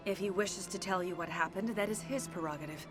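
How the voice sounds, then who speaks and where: A woman voicing a poised alien consort who speaks in a calm, formal, measured way. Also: on the low side, music in the background, natural voice. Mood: composed. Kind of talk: video game cutscene dialogue.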